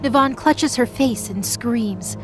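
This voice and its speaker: A woman's voice: on the high side, takes it slowly, over loud music. A woman giving scripted, dramatic narration as the storyteller of an audio drama.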